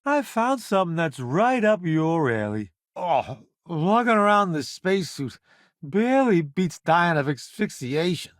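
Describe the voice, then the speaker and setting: A man's voice: on the high side, sing-song, dry recording, studio quality. A man playing a vain, flamboyant columnist turned space crewmate in a video game, with a wry, theatrical, smug delivery. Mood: disdainful grumbling. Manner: theatrical, wry, smug banter with drawn-out emphasis. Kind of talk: video game companion dialogue.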